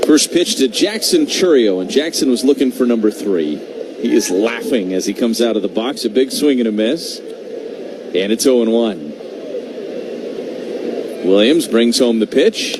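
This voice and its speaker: Male baseball radio announcer, medium pitch, conversational and dry-witted, with clear noise behind the call. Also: lively intonation, unhurried. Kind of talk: live radio play-by-play commentary. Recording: noisy stadium broadcast feed.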